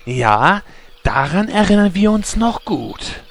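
A man's voice: high voice, sing-song, slow, fairly bright tone, slight background noise, exaggerated voice. A man playing a crude, hungry adventurer in a comedic fantasy audio drama, with a mocking relish. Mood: reproachful.

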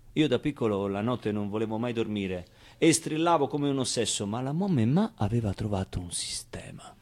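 An actor playing a wistful, streetwise man who recalls his orphaned boyhood in an expressive, colloquial theatrical monologue. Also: mid-range voice, natural voice. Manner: expressive, clownish, with pauses and repeated phrases. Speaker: stage actor. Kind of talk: theatrical monologue, colloquial first-person storytelling.

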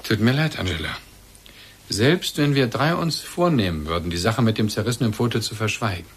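Radio drama casting: insistent, suspicious husband, lively pitch movement, slightly husky voice. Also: male voice. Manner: deliberate, pressing. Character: suspicious husband, insistent, probing, coldly accusing. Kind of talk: German radio play acting.

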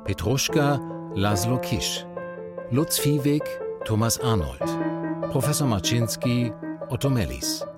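Casting: male announcer for radio drama titles, mid-pitched, slow and formal, over loud music. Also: animated. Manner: measured, deliberate. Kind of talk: formal scripted radio play credits.

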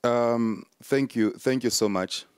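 Brief: man, preacher being interviewed, mid pitch, halting but emphatic, dry recording. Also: smooth, no room sound. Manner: emphatic, repetitive preacher cadence with many fillers. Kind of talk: sermon-like interview answers.

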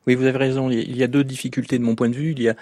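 A man speaking as a guest microbiologist in a radio interview, explaining things didactically and step by step in a measured way. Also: medium pitch, level tone, darkish tone, dry recording. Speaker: medical researcher, microbiologist, guest expert.

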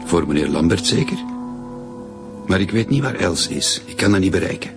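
A man's voice: low-pitched, big pitch swings, moderate pace, over music. A young man playing a bereaved, defensive boyfriend in a naturalistic radio drama. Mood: wary. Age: young adult.

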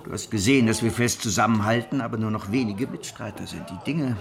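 An actor playing a grave, shrewd rebel preacher of the sixteenth century in a radio drama, speaking in a measured, earnest way. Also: male voice, lowish voice, creaky, a crowd in the background. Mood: grave, resolute. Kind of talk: historical radio drama acting.

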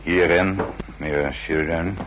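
An actor playing a sinister, formally polite manservant in a Dutch radio play, speaking with deliberate, clipped courtesy. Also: male voice, deep voice, fairly even intonation. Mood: deferential. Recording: noisy old radio play recording.

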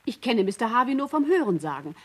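A woman's voice: fairly high pitch, very animated, fast talker, husky. An actress playing a female witness giving testimony in a radio play. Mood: reflective. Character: female witness recalling an acquaintance's family.

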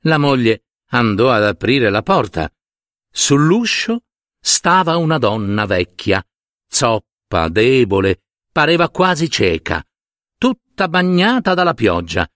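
A man's voice: medium pitch, big pitch swings, takes it slowly, fairly bright tone, dry recording, studio quality. A man narrating a fairy tale in a dramatic, scripted storytelling style.

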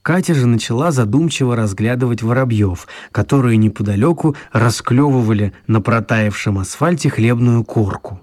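A man's voice: mid-range voice, normal speed, hardly any pauses, a little mellow, close to an everyday voice. A man acting as the narrator of a children's story, in scripted storybook narration. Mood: storytelling. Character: male storyteller of a children's tale.

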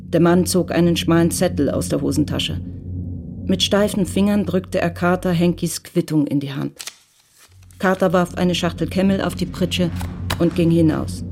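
A woman narrating a German radio play from a script in a measured, matter-of-fact way. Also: fairly low pitch, creaky, background noise.

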